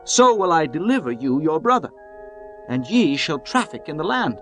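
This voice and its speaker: A man's voice: a little high, very animated, moderate pace, fairly bright tone. A man voicing an anxious, earnest brother recounting events to his father. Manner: earnest, worried. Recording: music bed behind voice.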